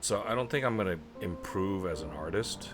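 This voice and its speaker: A man streaming a video game live, chatting in a rambling way and clearing his throat often. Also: deep voice, lively intonation, brisk. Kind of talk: live let's play commentary. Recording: game music clearly audible underneath. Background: game music.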